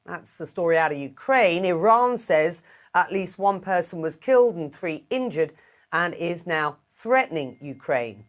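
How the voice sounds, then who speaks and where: A woman anchoring a television newscast, reading scripted news in a steady broadcast delivery. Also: on the low side.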